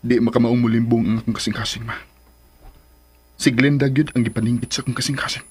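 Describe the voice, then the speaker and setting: An actor playing an earnest, lovesick young son in a radio drama, with a Cebuano Filipino accent. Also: male voice, medium pitch, fairly even intonation, fast, slightly rough, close to an everyday voice. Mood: lovelorn. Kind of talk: Philippine radio drama acting.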